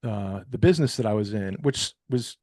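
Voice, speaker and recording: A man's voice: fairly low pitch, lively intonation, normal speed, a little mellow, dry recording. A middle-aged man from New York, a guest on a podcast, talking reflectively about his career change with lots of casual fillers. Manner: chatty and reflective, many fillers like you know and um. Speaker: podcast guest, recovery peer advocate. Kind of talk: casual interview conversation.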